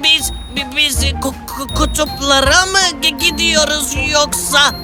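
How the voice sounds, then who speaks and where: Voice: high voice, steady tone, unhurried. An actor voicing a cheeky, blunt pet parrot, sceptical but loyal, in a cartoon fairy tale. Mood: alarmed. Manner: blunt, wry, complaining. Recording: music behind the voice. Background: music.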